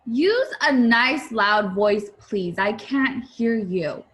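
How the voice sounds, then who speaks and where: A woman voicing a loud, busy family member in a picture book, calling out emphatically. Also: fairly high pitch, expressive, takes it slowly, a little hiss or hum. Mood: loud, impatient.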